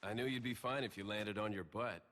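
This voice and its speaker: A man's voice: on the low side, brisk, close to an everyday voice. A man playing a cool, cocky young action hero agent in a video game cutscene, with music behind. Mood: dry, reassuring. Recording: game audio under loud music.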